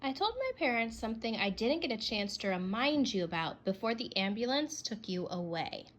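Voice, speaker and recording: A woman's voice: on the high side, very animated, moderate pace, fairly bright tone, highs cut off. A woman voicing a child who writes a grudging, earnest apology letter, read expressively as a storybook. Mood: earnest, self-justifying.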